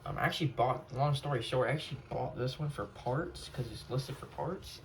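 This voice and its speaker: Creaky low-voiced man rambling casually. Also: a little fast, slight background noise, low-quality recording. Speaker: hobbyist collector showing a radio collection. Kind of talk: casual unscripted show-and-tell.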